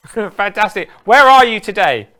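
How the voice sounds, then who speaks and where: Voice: medium pitch, lively intonation, unhurried, sharp tone. A host running a live interview, full of praise and asking long, rambling questions.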